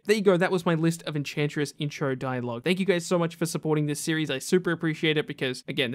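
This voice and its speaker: Higher-pitched man giving opinionated gaming commentary. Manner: opinionated, conversational. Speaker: gaming video host. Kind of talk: casual top-ten list commentary.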